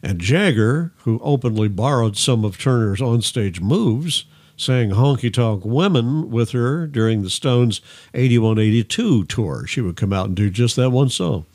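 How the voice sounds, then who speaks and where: A man's voice: mid-range voice, expressive, measured pace, darkish tone. An older man with an American accent, chatting casually through music news as a podcast co-host.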